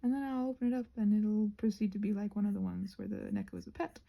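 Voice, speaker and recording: A woman's voice: mid-range voice, wildly swooping pitch, quick pace, vocal fry, lo-fi sound. A woman chatting casually and at length in a digressive way, often correcting herself and restarting her thoughts. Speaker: host of a rambling craft vlog. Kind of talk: casual rambling chat.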